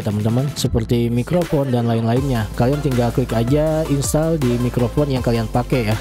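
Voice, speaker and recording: Brief: young male tutorial host, friendly and chatty, studio mic at home, music bed under him. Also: mid-range voice. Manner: chatty, friendly, keeps addressing viewers as friends. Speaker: YouTube tech tutorial host. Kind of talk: casual step-by-step software tutorial. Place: small home room lit with blue LED lights. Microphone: large studio mic on a boom arm.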